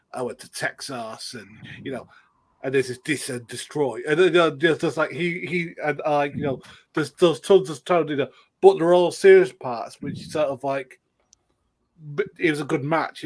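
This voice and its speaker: A man with a moderately high voice talks in a casual panel debate. He speaks haltingly, doubling back on his words and carefully qualifying each opinion. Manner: halting, repeats himself, hedging his opinions. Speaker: discussion panelist.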